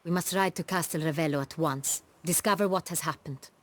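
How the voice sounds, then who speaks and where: An actress playing a proud, strong-willed duchess in a fantasy game, speaking in a formal, commanding, regal manner. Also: female voice, low-pitched, brisk, lightly acted. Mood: insistent.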